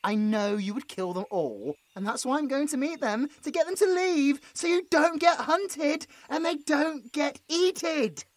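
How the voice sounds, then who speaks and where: British male hunter a man acting, frightened captive with a sarcastic streak; stammering, very animated delivery, dry recording. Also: very high voice, measured pace, few pauses, no echo. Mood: panicked, stammering. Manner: stammering, pleading, with muttered sarcastic asides. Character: frightened, sarcastic injured young monster hunter, placating his captor. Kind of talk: audio roleplay acting.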